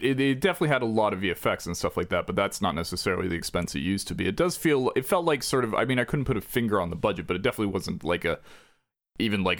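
A man's voice: lowish voice, gravelly. A man co-hosting a podcast, leading the casual chat and asking his co-host questions. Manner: leads the talk, asks questions, animated.

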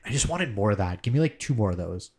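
A man's voice: on the low side, expressive, quick pace, darkish tone. Rambling, filler-heavy male podcaster. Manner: rambling, many fillers, swears casually. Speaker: podcast co-host. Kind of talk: casual podcast chat.

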